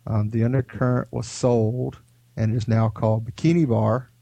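A man's voice: medium pitch, level tone, moderate pace, creaky, mellow, slight background noise. A man co-hosting a podcast, sick with a head cold, telling stories in a rambling, laid-back way. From Houston area. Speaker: podcast co-host. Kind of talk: casual chat.

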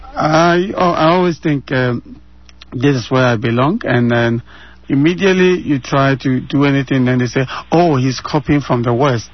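A man's voice: medium pitch, moderate pace, no room sound, highs cut off. A man in his thirties from Ghana with a Ghanaian accent, answering interview questions earnestly and repeating phrases for emphasis, heard over a remote line from his home. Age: 30s.

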